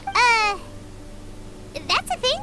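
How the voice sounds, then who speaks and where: Casting: pint-sized game fairy, piercingly high, animated, cheerful, childlike, a little pompous. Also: female voice, very slow, loud music behind the voice, exaggerated voice. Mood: excited, curious. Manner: refers to self in third person, exclaiming. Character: tiny cheerful gluttonous fairy girl companion, childlike, self-important. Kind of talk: video game voice acting.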